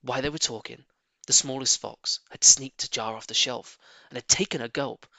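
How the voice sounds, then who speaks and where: Male children's audiobook reader: expressive, sharp-toned, some gravel in the voice. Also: mid-range voice, moderate pace. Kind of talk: expressive children's storybook narration.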